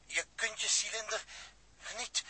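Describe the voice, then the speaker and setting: An actor playing a pensive, stoic astronaut in a 1960s radio drama, reflective and measured with theatrical delivery. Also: male voice, high voice, steady tone, heavy background noise. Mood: resigned.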